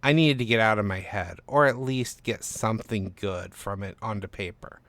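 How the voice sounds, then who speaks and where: A man's voice: lowish voice, normal speed, a bit bright, no echo. A man reading scripted first-person narration as the author and narrator of a short story.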